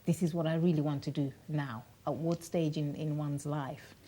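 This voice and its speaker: A woman hosts a TV interview in the studio. She asks probing questions and chats along with her guest, often finishing the guest's sentences. Her voice is low and dark in tone. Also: steady tone, moderate pace. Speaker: TV host.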